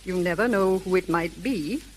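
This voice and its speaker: A woman's voice: on the low side, normal speed, lightly acted. An actress playing a jumpy, genteel middle-aged mother in a 1930s radio drama. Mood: uneasy, genteel. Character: jumpy, watchful, genteel mother of a grown son. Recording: hissy, crackling 1930s radio recording.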